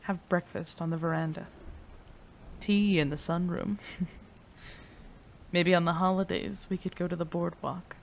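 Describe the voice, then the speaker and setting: A woman's voice: on the low side, fairly even intonation, unhurried, pauses often, slight background noise. A woman playing a devoted, desperate female mad scientist in an intimate, emotional audio roleplay, addressing a beloved. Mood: tender, wistful. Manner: emotional, breathy sighs, addresses a beloved tenderly.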